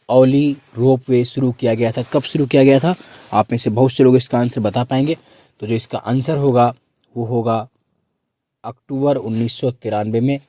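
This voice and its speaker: Hoarse man teaching an exam quiz lesson. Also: medium pitch, warm tone, no echo. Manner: repeats questions and prompts listeners to answer. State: hoarse, sore throat. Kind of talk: quiz lesson with questions and answers for exam students.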